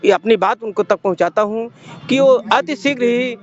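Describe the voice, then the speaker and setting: A man's voice: very high-pitched, moderate pace. A man, a Buddhist monk giving a formal, oratorical appeal with emphatic repetition. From Uttar Pradesh, India. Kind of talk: formal impromptu speech to camera. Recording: dull lo-fi sound, crowd around.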